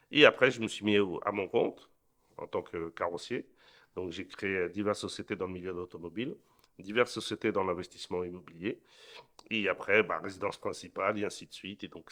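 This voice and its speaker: Deep-voiced middle-aged man. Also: fairly bright tone. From Portugal. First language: Portuguese. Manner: earnest, repeats words and restarts often. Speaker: interview guest, entrepreneur. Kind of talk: casual interview answers.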